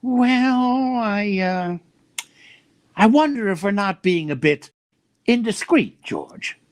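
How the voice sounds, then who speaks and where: A man's voice: high-pitched, lively intonation, takes it slowly, no room sound. A man playing a stuffy, easily scandalized husband in a 1940s-style radio sitcom, pompous and given to bluster. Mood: uneasy.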